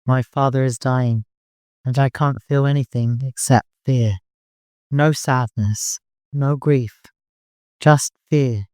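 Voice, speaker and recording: A young heroine in an audiobook , acted by the narrator, frightened yet defiant. The delivery is earnest and emotional at a middle pitch, recorded close and dry. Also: fairly even intonation, takes it slowly, a little mellow, smooth, dry recording, lightly acted. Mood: sad, guilty.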